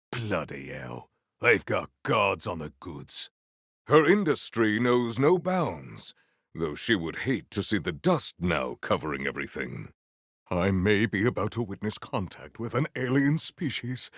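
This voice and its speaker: A man playing a proud, stern warrior in a video game, speaking formally and theatrically in grandiose declarations with a gravelly edge. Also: on the low side, a bit bright, no echo, studio quality, exaggerated voice. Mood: irritated, urgent. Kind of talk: video game character voice lines.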